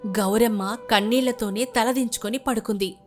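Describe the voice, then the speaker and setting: Female narrator for a scripted moral story: voice on the high side, music underneath. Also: steady tone, normal speed.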